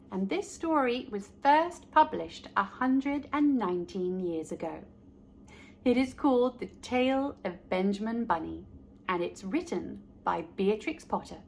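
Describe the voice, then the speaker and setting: A woman reading a children's story aloud as host, speaking directly to young listeners in a deliberate way. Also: mid-range voice, a little hiss or hum.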